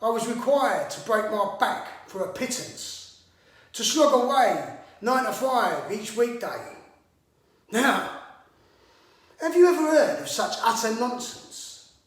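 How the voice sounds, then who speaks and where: A man's voice: very high voice, very animated, slow, exaggerated voice. A man performing a theatrical monologue as a hot-tempered, swaggering brawler with mock-grand, sweary speech, in an echoey room. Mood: swaggering, storytelling. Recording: echoey room, distant microphone.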